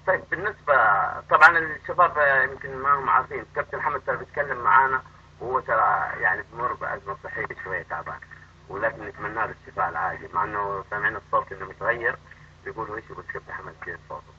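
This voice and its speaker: A man hosting a live chat-room interview, asking questions eagerly and quickly. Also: fairly low pitch, sharp tone. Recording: dull lo-fi audio with faint noise.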